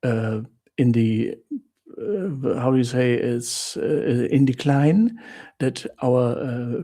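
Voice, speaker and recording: A German-accented man hosts a relaxed live Q&A for his viewers. His low voice is gravelly with a husky edge, and his tone is dark and mellow. He stops often to hunt for English words, then sums things up in short, blunt exclamations. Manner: hesitant, searches for words with many fillers, blunt exclamations. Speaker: livestream host answering viewer questions. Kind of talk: casual live Q&A chat.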